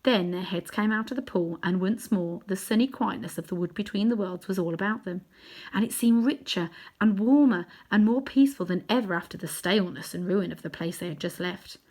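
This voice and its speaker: A woman reading a children's novel aloud in chapters, adding gentle asides to her listeners. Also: medium pitch, a little fast. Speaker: storybook reader.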